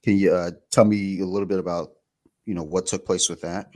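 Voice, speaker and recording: A man with a very deep voice hosts an interview. He talks in a loose, easygoing way and leans on filler phrases as he goes. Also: a little fast. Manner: relaxed, conversational, lots of "like" and "you know". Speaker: podcast host, interviewer. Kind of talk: casual interview chat.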